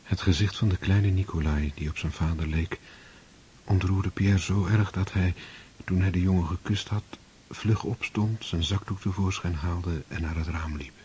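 A male narrator gives solemn, measured narration for a radio play. His voice is very deep and dark, with a faint hiss in the recording. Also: fairly even intonation. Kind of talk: scripted narration.

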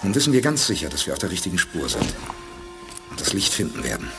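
A man's voice: deep voice, huge pitch swings, fast, creaky, in a large hall, over music, exaggerated voice. An actor playing a decisive, commanding male space expedition leader in a 1980s radio play. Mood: thoughtful. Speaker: leader Perry Rhodan.

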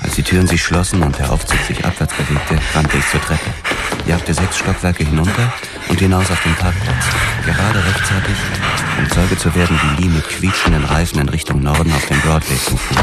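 A man's voice: extremely low pitch, fairly even intonation, a little fast. A man playing a grim, haunted police detective who tells his own story tersely in a noir radio drama. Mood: grim, tense. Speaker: narrator and lead actor. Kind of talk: first-person noir radio drama narration. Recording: loud music behind the voice. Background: loud music.

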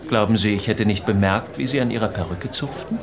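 Radio drama role: actor as smug, insinuating doctor, lowish and gravelly-edged yet sonorous, murmuring people in background. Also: male voice, brisk, a crowd in the background, lightly acted. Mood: smug, knowing. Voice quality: smooth, sonorous. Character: smug, oily male hospital doctor and scientist. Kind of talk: radio crime drama dialogue.

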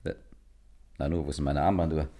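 A confident gentleman gangster in an old radio satire. His voice is low, and he talks in a brisk, matter-of-fact, slightly skeptical way. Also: fairly even intonation. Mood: brisk, bemused. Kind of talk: 1950s German radio satire acting.

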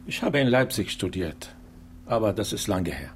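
An actor playing a polite middle-aged refugee teacher from Syria in a naturalistic radio drama, speaking carefully and haltingly. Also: male voice, lowish voice, sing-song, slight background noise. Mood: wistful, polite. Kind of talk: naturalistic German radio crime drama acting.

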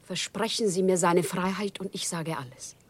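A woman's voice: lowish voice, normal speed, breathy edge. A woman playing an earnest, tormented humble wife in a German radio drama, speaking in a solemn, fervent, declamatory way. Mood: anguished.

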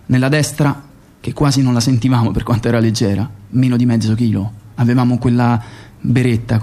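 Man acting a subdued secret agent recounting his mission, mellow voice. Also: mid-range voice, natural voice. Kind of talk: radio theatre monologue, narrated.

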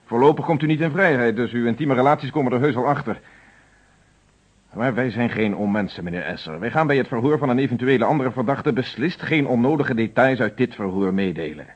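An actor playing a stern, probing police inspector in a radio play, questioning in a formal, insistent and authoritative way. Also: male voice, mid-range voice, animated, a little fast, breathy edge. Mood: stern, pressing. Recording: dull, fuzzy old radio recording.

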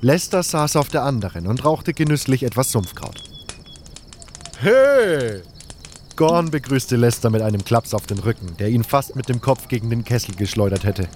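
A man's voice: medium pitch, fairly quick, few pauses, darkish tone. Male audiobook narrator, noisy background. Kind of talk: scripted first-person audio drama narration.

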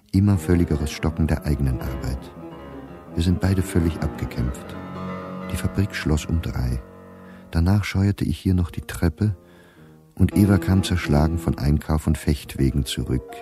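A man's voice: extremely low pitch, a little slow, music in the background. An actor playing a weary, persecuted middle-aged diarist in a radio play, reading his entries in a terse, restrained, bitter way. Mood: weary, sober. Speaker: diary reader in a radio play. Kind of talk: sober literary diary reading.

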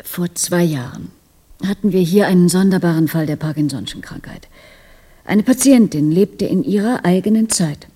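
Devoted female doctor, radio drama, hushed and measured, dark warm timbre, husky. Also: fairly low pitch. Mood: grave, measured. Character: earnest female doctor, intimate and devoted to her patient. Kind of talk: German radio drama acting.

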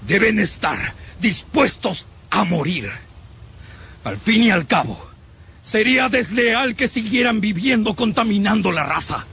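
A fanatical, domineering man in a radio drama. His high voice swings widely as he harangues with slow, menacing contempt, over faint noise. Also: big pitch swings, vocal fry, sharp tone, heavily acted. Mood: ranting, contemptuous. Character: fanatical, domineering male survivor, ranting and contemptuous.

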